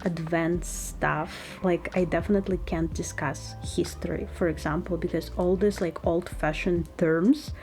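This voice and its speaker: A woman's voice: lowish voice, unhurried, hardly any pauses, faint music behind. Chatty young woman with a Russian accent. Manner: chatty and relaxed, with small slips in English grammar. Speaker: lifestyle vlogger. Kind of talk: casual vlog narration.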